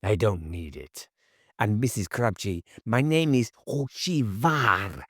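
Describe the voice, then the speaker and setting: A man voicing an eerie, poker-faced Hungarian man with a smooth, polite and guarded delivery in an audiobook. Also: fairly low pitch, expressive, takes it slowly, fairly bright tone, dry recording, studio quality, heavily acted. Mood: guarded, deadpan. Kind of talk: audiobook character voice.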